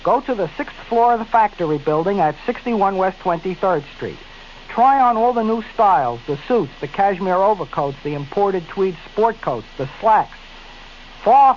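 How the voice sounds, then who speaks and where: High-voiced radio ad announcer man. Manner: emphatic, repeating the address. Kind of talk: commercial read. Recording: dull lo-fi 1970s radio with hiss.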